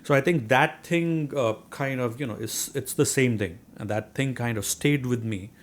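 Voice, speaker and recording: A man's voice: medium pitch, moderate pace. Earnest Indian-accented man, "right?" often. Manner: earnest, frequent "you know" and "right?". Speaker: real estate investor guest. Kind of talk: conversational interview.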